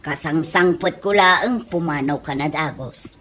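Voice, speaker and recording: An actress playing a hard-up, complaining older sister and wife in a comedy radio drama, with an Ilocano accent and a plaintive delivery. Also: female voice, sits low, unhurried. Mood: grumbling. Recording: noisy radio drama recording.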